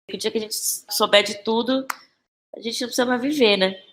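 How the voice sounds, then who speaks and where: A Brazilian woman in her thirties speaking as a guest in a conversational podcast interview, thinking aloud haltingly and repeating phrases. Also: a little high, fairly even intonation, a bit bright, slight background noise. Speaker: interview guest, playwright and actress.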